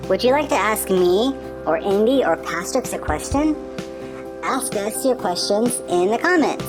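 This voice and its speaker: A woman's voice: mid-range voice, lively intonation, measured pace, music in the background, close to an everyday voice. A woman voicing a friendly, eager young male puppet on a children's show, speaking simply and earnestly. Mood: gentle, earnest. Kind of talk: children's show dialogue, scripted.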